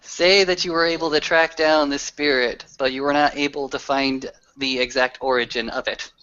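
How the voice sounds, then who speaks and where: A man narrates a roleplaying game in a bright, forward voice at middling pitch. His phrasing is wordy and hesitant, and the audio is dull and lo-fi. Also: fairly even intonation, moderate pace, clear, resonant tone. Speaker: tabletop game storyteller or player. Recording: dull lo-fi online call audio.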